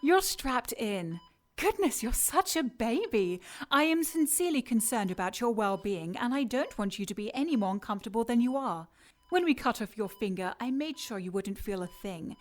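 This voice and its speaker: A woman's voice: on the high side, animated, natural voice. Cool, condescending woman scientist villain played by an actress. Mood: coolly menacing. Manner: clinical, taunting, unhurried. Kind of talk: radio drama acting.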